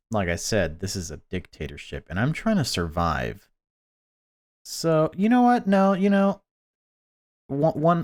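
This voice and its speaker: Low-voiced guy doing let's play commentary, slow and rambly, jokes around. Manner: rambling, joking, swears casually. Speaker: gaming video host.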